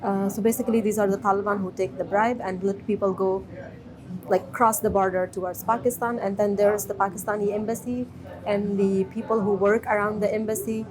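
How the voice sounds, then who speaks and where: A woman's voice: mid-range voice, steady tone, poor audio. Afghan woman in her thirties, crowd behind. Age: 30s. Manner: measured, with frequent pauses and restarts. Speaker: interviewee. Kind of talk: personal testimony.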